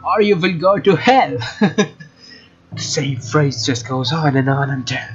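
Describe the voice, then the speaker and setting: Higher-pitched man doing let's play narration. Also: lively intonation, unhurried, lo-fi sound. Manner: joking, informal. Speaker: let's player. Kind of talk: let's play narration, reading game text aloud with casual asides. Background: game music.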